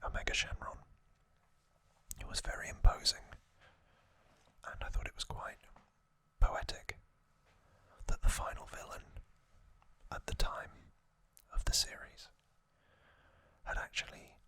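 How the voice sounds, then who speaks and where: Whispering man, very slow and hushed. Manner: hushed, unhurried, halting with pauses. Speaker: host of an ASMR channel. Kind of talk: whispered ASMR commentary, unscripted.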